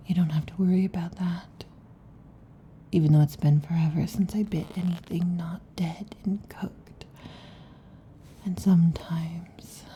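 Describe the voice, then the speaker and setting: Woman playing a female snake-monster girlfriend, ASMR roleplay, low mellow voice, husky whispering, halting, possessive, predatory. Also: a little hiss or hum. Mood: soothing, predatory. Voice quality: breathy, husky half-whisper. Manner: halting, teasing, predatory intensity. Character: restless, possessive female lamia monster girlfriend next door.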